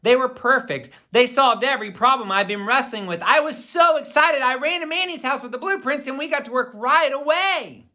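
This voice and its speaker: A man narrates a children's story in the first person. His voice is bright and very lively, sweeping up and down in pitch with theatrical, expressive phrasing for young listeners. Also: moderate pace, few pauses. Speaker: audiobook reader.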